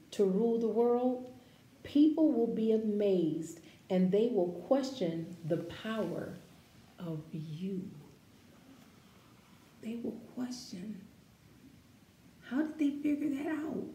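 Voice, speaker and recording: Woman, children's story-time reader, unhurried pace, soft mellow tone, expressive emphatic phrasing, echoey room. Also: medium pitch. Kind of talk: picture-book read-aloud with asides to children. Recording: echoey room with faint background noise.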